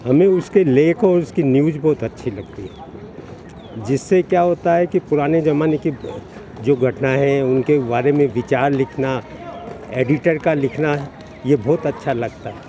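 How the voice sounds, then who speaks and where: A middle-aged man speaking in a measured, plain way in a street interview outdoors in a park. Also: mid-range voice, dark tone. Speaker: interviewee, husband.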